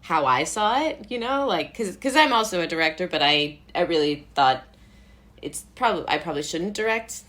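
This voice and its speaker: A woman's voice: lowish voice, normal speed. An American woman talking casually as an interview guest, in a rambling way full of "like" and self-interruptions. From Brooklyn, New York, USA. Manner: rambling, animated asides with frequent "like" and self-interrupting laughs. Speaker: playwright, director and actor, interview guest.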